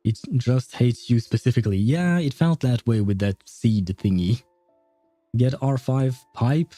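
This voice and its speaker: A man with a mellow, relaxed voice gives casual game commentary. He chats wryly with viewers on a dry, close mic, with faint music behind him. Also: medium pitch, measured pace, no echo. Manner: informal, swearing, wry. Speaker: game livestreamer. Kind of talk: casual live gaming commentary, chatting with viewers.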